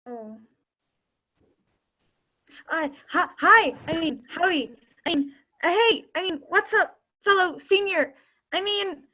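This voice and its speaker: Young woman as insecure teen girl, very high voice, bright tone, flustered, awkward, stage play. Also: takes it slowly, long pauses, exaggerated voice. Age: young adult. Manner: flustered, stammering. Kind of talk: amateur stage play acting.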